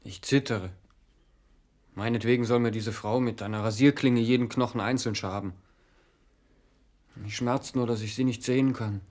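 A man's voice: medium pitch, fairly even intonation, moderate pace, pauses often, a little mellow, natural voice. An actor playing an ardent, naive young postman in a German radio drama, speaking earnestly and plaintively in poetic phrases. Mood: lovesick, trembling. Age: young adult.

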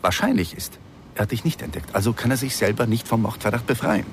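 An actor playing a worried, placating lover in a radio drama, reasoning in a hesitant, measured, coaxing way. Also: male voice, on the low side, a little hiss or hum, natural voice.

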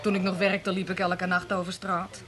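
A woman's voice: lowish voice, completely flat delivery, moderate pace, a bit bright, lightly acted. An actress playing a worldly, guarded young homeless woman in a comic radio play, laconic and hesitant. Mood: weary, evasive. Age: young adult.

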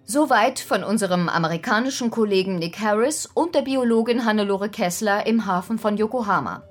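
A woman's voice: medium pitch, a little fast, faint music behind, lightly acted. An actress playing a composed female news anchor presenting and interviewing in a radio play. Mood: composed, inquiring.

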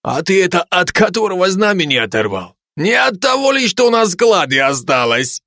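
A man's voice: high-pitched, big pitch swings, moderate pace, sharp tone, no room sound, clean studio sound, pitched above the performer's own voice, exaggerated voice. A man voicing a handsome young Georgian soldier who teases his comrades. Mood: teasing. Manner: teasing, mocking.

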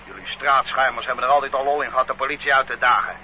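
An actor playing a bullying, greedy male policeman who scolds gruffly, in an older radio recording. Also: mid-range voice, little pitch movement, very fast, lightly acted. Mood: stern. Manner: gruff, scolding, self-satisfied. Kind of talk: radio play acting. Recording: dull old radio recording, faint hum.

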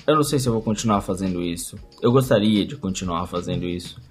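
A man in his twenties with a Brazilian accent, giving a reflective personal monologue, thinking aloud with emphatic pauses and repeated phrases. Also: deep voice, husky. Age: 20s. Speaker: vlogger giving personal commentary. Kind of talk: reflective spoken audio-log monologue. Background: music.

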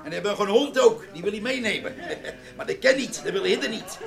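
Creaky high-voiced simple village man. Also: animated, a crowd in the background. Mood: gossipy. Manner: halting, repeats himself, rustic. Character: simple, cheerful village bandsman with gossip.

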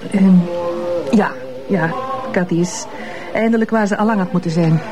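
An actress playing a composed, bitter middle-aged ex-wife of an abusive notable, with a Flemish accent, in a television crime drama. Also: female voice, mid-range voice, normal speed, over music, natural voice, rough recording.

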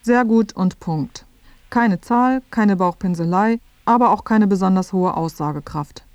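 A woman plays a female radio presenter narrating a satirical report. Her voice sits at a middle pitch. The delivery is scripted, dry and matter-of-fact, in a very dry studio sound. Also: unhurried, a little mellow, dry recording, lightly acted.

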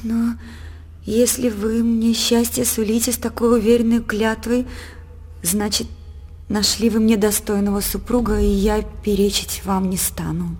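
An actress playing a young, devout and dreamy princess in a radio drama, speaking in a heartfelt, formal theatrical way. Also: female voice, on the high side, flat delivery, unhurried, darkish tone, husky. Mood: fearful, pleading. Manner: heartfelt, declamatory, formal verse-like phrasing. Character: young princess daughter, devout, dreamy, earnest yet proud.

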